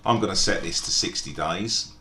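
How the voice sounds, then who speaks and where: Deep-voiced man giving an echoey software tutorial. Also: normal speed. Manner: methodical, explanatory. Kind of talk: informal step-by-step screen tutorial narration. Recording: echoey room, background hiss and rumble.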